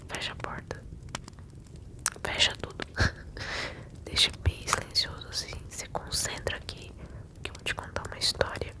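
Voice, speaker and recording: Brazilian woman, fully whispered ASMR storyteller, soothing and intimate direct address to help a listener sleep. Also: poor audio. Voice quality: whispered, breathy, unvoiced.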